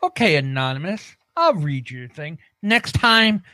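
A man co-hosting a casual comedy show, haltingly reading out the news and repeating himself. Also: on the high side, big pitch swings, pushed and bright, dry recording, clean studio sound.

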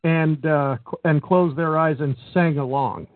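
A man co-hosting a talk radio show, giving his opinions in a measured way. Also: on the high side, fairly even intonation, no echo. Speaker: radio co-host. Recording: phone-like narrow sound, dry.

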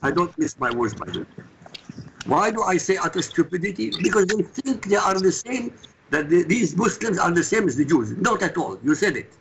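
A man's voice: high-pitched, big pitch swings, moderate pace, background noise. A man with an Iraqi Arabic accent, a native Arabic speaker, talking as a radio guest in an emphatic, insistent way that lectures and cuts in. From Iraq. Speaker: guest commentator. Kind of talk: heated radio talk.

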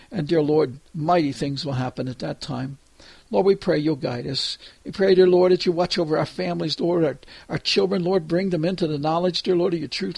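Older man, gravelly and husky, praying aloud in a halting, repetitive cadence; dry close sound. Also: a little high, no echo. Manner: repeats "dear Lord" between phrases; halting, with restarts and fillers. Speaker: prayer leader. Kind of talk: extemporaneous spoken prayer.

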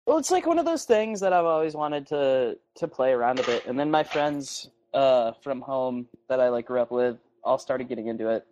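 A man's voice: mid-range voice, big pitch swings, normal speed, few pauses, darkish tone, highs cut off. A man from New Hampshire co-hosting a podcast, rambling and swearing in casual banter. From New Hampshire, USA. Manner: rambling, swearing, laughs at own puns. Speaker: podcast co-host. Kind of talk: casual chat.

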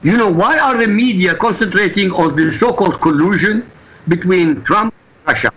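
Guy on an interview, kinda high voice, breathy, pushes every point hard. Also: expressive, moderate pace, a bit bright, poor audio. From Iraq. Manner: emphatic, insistent. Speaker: guest commentator.

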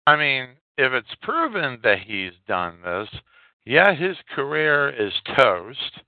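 A man hosting a podcast, giving casual news commentary in a halting, hesitant way with pauses. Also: mid-range voice, big pitch swings, no echo. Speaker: podcast host. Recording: narrow phone-like audio, dry.

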